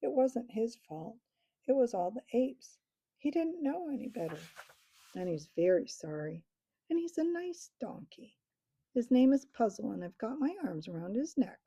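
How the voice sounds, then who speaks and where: A woman voicing a brave young schoolgirl from a storybook, eager and earnest. Her voice is husky and very mellow, with a gravelly texture. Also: on the high side, big pitch swings, moderate pace, pitched above the performer's own voice, full character voice. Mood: pleading. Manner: eager and pleading.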